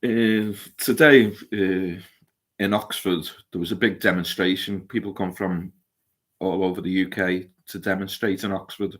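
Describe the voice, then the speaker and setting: A man's voice: deep voice, fairly even intonation, a little slow, fairly bright tone. A man co-hosting a casual live stream, talking hesitantly about news stories with lots of uhs and restarts. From Lancashire, England.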